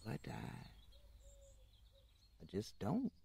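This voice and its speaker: A woman playing an outspoken, unapologetic former maid, telling her story in a folksy, plainspoken way with dramatic pauses, in old-time radio style. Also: extremely low pitch, huge pitch swings, creaky, dark tone, a little hiss or hum, exaggerated voice. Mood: reflective.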